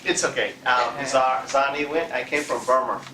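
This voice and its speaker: A man who organizes a visiting student group, giving brief soft-spoken replies from the audience. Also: medium pitch, fairly even intonation, normal speed, slight background noise. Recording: echoey room, distant mic.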